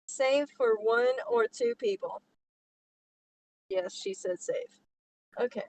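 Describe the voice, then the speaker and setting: A woman's voice: on the high side, very animated, slow, creaky, a little mellow, poor audio. A woman from Texas reading a story aloud as a co-host, with playful, sarcastic asides that interrupt the reading. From Texas, United States.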